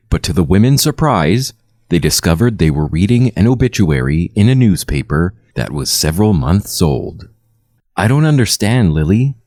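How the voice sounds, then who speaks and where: Casting: male horror storyteller, low register with animated melody, measured scripted read, very dry recording. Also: no room sound. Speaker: storyteller, narrator.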